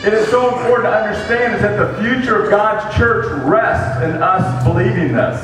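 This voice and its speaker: A man preaching in short, measured phrases that pause for an interpreter. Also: high voice, music in the background. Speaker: guest preacher. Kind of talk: sermon with live interpretation. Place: large dim auditorium. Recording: echoey hall from far off, noisy.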